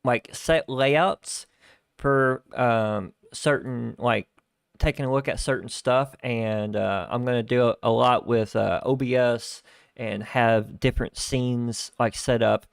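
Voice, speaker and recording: A man chatting casually on his live stream from a home setup, his voice gravelly with fry yet smooth and resonant. He rambles, restarts and fills gaps. The sound is dry and thin in the low end, from a starter microphone. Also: fairly even intonation, slow pace, dry recording. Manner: rambling, with many fillers and restarts. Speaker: livestream host. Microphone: starter desk microphone lacking bass.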